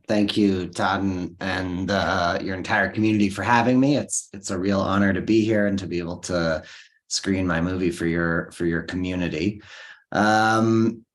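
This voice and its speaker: A man from Los Angeles with an American accent, answering as a filmmaker guest in an earnest, halting way with restarts, over a video call. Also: low voice, fairly even intonation. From Los Angeles, USA. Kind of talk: panel interview answer.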